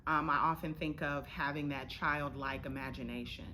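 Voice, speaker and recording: A woman's voice: sits low, steady tone, normal speed, pushed and bright, slight background noise. A woman from Florida, a theater director and actress, giving thoughtful answers as an interview guest. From Florida, United States.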